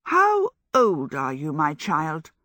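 Woman voicing a brisk, tart old woman. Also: sits low, huge pitch swings, bright, forceful tone, dry recording, exaggerated voice. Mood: bewildered, questioning. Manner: brisk, exclamatory. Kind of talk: storybook character voice.

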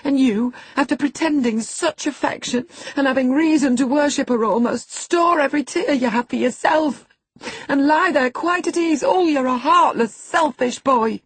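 A woman's voice: low voice, normal speed, breathy edge, dry recording, low-quality recording. A woman voicing an indignant, loyal elderly woman servant who scolds with emphatic feeling, in an audiobook. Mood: reproachful.

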